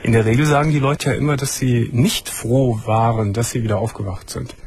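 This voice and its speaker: A man hosting a late-night call-in show from a studio, asking calm, probing questions and giving short replies as he listens. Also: mid-range voice, brisk, slightly rough. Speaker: radio and TV talk show host.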